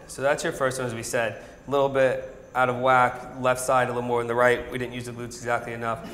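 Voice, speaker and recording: Fast-talking man explaining in an echoey gym. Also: medium pitch, fairly bright tone. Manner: fluent, instructive, coach-like. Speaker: startup product specialist. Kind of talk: product demo explanation. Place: large indoor gym with arched hallway.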